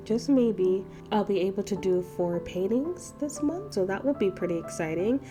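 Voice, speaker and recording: Stuffy-nosed young woman narrating her art. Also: fairly low pitch. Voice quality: nasal. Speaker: art channel host. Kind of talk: voiceover narration of a painting session. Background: background music.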